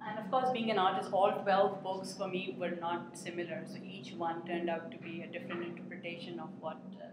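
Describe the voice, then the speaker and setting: Hesitant woman artist in an echoey room. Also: medium pitch. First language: Urdu. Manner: hesitant, thoughtful, many filler sounds. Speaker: visual artist. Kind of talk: artist talk about her work. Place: art gallery. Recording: very echoey room with steady background noise.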